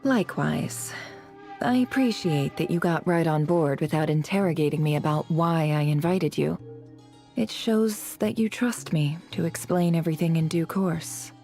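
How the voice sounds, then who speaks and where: A woman voicing a cool, shrewd, self-assured woman in a video game, with a measured, teasing manner. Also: low voice, gravelly, mellow, soft background music. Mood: composed, businesslike.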